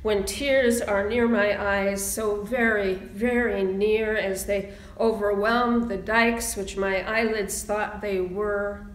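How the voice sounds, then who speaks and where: A woman minister speaking in a measured, deliberate sermon style, pausing for emphasis as she reads and preaches. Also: medium pitch, steady tone. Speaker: minister leading a church service. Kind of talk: sermon and slow, solemn readings. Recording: roomy, echoing sound.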